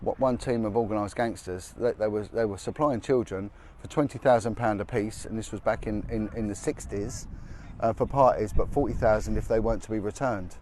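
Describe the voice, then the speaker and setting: A man's voice: fairly low pitch, fairly quick, hardly any pauses, a little mellow, slight background noise. A middle-aged man, hosting a live podcast outdoors in a city park, talking bluntly and with passion and repeating himself as he gets fired up. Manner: blunt, impassioned, repeats words when fired up. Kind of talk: outdoor live-stream chat with passers-by.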